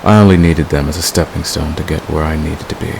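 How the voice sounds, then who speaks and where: Man acting very deep, gravelly villain lover. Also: steady tone. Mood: devoted, resolute. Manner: intense, deliberate, possessive. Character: devoted, manipulative villain, a secret lover. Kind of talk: romantic ASMR roleplay acting. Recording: clear background hiss.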